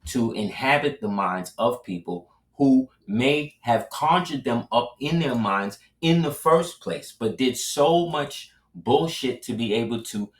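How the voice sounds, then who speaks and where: A man's voice: mid-range voice, lively intonation, unhurried, few pauses. A man hosting his own show, talking in a loose, casual commentary style and dropping in tag questions between points. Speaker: host. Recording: roomy, distant mic.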